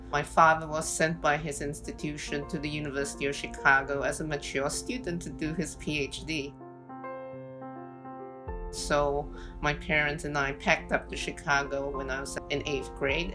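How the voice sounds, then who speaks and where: Low-voiced woman over background music. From Singapore. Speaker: award recipient. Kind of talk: recorded acceptance speech.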